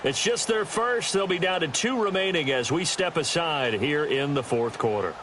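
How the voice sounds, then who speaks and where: A man's voice: on the high side, animated, normal speed, lightly acted. An American man playing a TV play-by-play announcer, calling an American football game. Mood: brisk, engaged. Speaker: play-by-play sports commentator. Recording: loud stadium noise behind voice. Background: loud stadium game noise.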